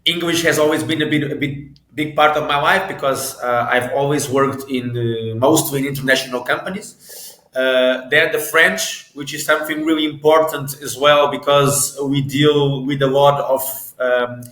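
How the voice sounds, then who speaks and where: A man's voice: mid-range voice, steady tone, moderate pace, a bit bright, roomy sound, rough recording. A man from Portugal in his thirties, a guest in an interview, talking with many restarts and repeated words. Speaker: guest, football agent and sports lawyer. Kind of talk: conversational interview.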